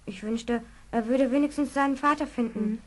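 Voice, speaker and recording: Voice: mid-range voice, moderate pace, close to an everyday voice. A performer playing an earnest, caring child in a German children's radio play, speaking in a heartfelt way. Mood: concerned.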